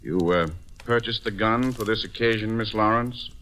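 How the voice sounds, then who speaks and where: An actor playing a suave, condescending middle-aged doctor in a 1940s radio drama. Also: male voice, fairly low pitch, moderate pace, fairly bright tone, a little hiss or hum, natural voice. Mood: cool, condescending. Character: smug, controlling sanitarium doctor, coolly condescending.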